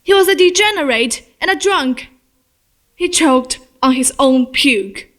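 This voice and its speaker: A woman's voice: high-pitched, lively intonation, measured pace, fairly bright tone. A young female student acting a blunt, sardonic, indignant guest in an amateur stage murder mystery. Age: teenager.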